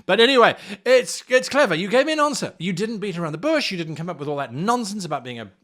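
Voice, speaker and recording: A man's voice: sits high, very animated, fast talker, fairly bright tone. A man presenting tabletop role-playing game advice in a theatrical, playful manner with sarcastic asides. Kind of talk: animated explainer on tabletop role-playing games.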